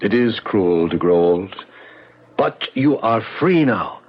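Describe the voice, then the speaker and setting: A man's voice: lowish voice, animated, slow, breathy edge, heavily acted. An actor playing a middle-aged male ballet star, short-tempered yet protective, in a 1970s radio drama. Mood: soothing. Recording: muffled 1970s radio broadcast recording.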